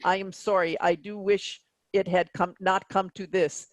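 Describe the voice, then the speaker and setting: A woman's voice: medium pitch, little pitch movement, measured pace, no echo, natural voice. A woman playing a stern, coldly officious robot captain of a spaceship in a staged play reading, with a formal, rigid delivery. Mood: cold, final.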